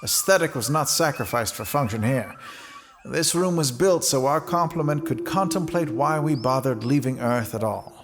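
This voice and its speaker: A man playing a courteous, grave space station commander in an audio drama, speaking formally in measured speeches. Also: medium pitch, lively intonation, slight background noise, close to an everyday voice. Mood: wistful, grave. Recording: long reverb, music underneath.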